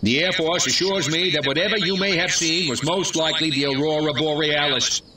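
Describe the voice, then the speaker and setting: Pompous, glib actor as a small-town mayor. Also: male voice, a little high, steady tone, a little fast, recorded from a distance, background noise, natural voice. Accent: American. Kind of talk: mock political speech, comic game acting.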